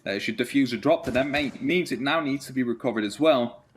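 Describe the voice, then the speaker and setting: A man's voice: mid-range voice, brisk, slight background noise. A man who is a non-native English speaker, giving live esports play-by-play and casual commentary. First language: not English.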